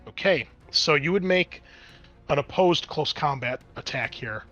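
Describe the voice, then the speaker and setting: A man's voice: on the high side, lively intonation, a little slow, sharp tone, lo-fi sound, soft background music. A man speaking casually as game master, explaining rules and narrating play in a tabletop roleplaying game.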